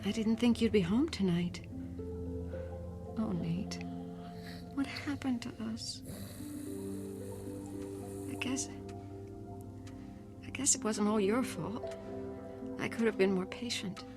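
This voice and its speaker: An actress playing a tender, regretful former girlfriend, speaking softly and haltingly in a radio drama. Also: mid-range voice, over music, lightly acted. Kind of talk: dramatic radio-play monologue.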